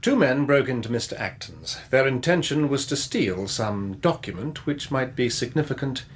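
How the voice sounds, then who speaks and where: A man portrays a confident police inspector in a radio play. His voice is medium in pitch, measured and deliberate, with cool, accusing authority. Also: animated, slight background noise, close to an everyday voice. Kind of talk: radio drama acting.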